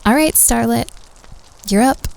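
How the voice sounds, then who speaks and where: A woman's voice: fairly high pitch, measured pace, creaky, a little mellow, rough recording. A woman playing a playful, teasing student at a Halloween campfire party in an audio roleplay, reacting expressively. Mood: playful, spooked.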